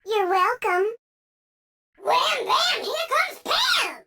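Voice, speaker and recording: Super high squeaky cartoon brawler, game catchphrases, voice changer, really noisy. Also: sing-song, very slow, exaggerated voice. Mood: hyped, boisterous. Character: assorted hyped cartoon brawler game characters, pitch-shifted. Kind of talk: exaggerated video game character catchphrases.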